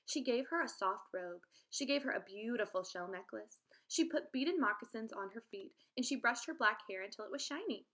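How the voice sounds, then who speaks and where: Female teacher, expressive children's read-aloud, direct address to young listeners. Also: a little high, normal speed, few pauses. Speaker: teacher reading aloud to pupils.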